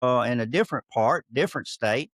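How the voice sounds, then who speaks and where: A man's voice: mid-range voice, brisk, fairly bright tone, no echo. A middle-aged man from Alabama with a Southern accent, hosting a podcast interview and drifting into friendly asides. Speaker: podcast host. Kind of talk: casual interview.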